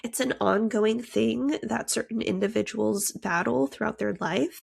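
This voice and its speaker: Woman, podcast host, stuffy nose, gravelly, steady explainer. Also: medium pitch. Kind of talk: scripted podcast monologue.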